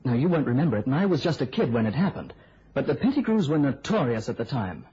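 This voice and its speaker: An actor playing an eager young male reporter in an old radio drama, chatty and a little theatrical, with a husky voice. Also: medium pitch, big pitch swings, quick pace, warm tone, a little hiss or hum, natural voice. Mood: breezy, keen. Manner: chatty, storytelling, slightly theatrical. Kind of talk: mid-century radio drama acting. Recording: muffled old-time radio recording.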